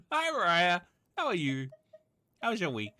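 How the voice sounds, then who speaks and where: A man's voice: sits high, wildly swooping pitch, a little slow, pushed and bright, dry recording, clean studio sound. A man chatting casually and giggly while live-streaming a game, reacting as he plays.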